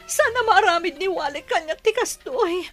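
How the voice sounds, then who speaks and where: A woman's voice: very high-pitched, animated, normal speed, over music. A woman playing a sensitive young woman in a Filipino audio drama. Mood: worried. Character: sensitive young woman, loyal friend, easily hurt in love.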